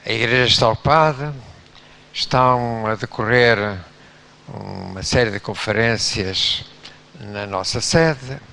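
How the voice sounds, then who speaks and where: A man's voice: medium pitch, measured pace, creaky, bright, forceful tone. A man gives an exhorting church address in a visiting pastor's role.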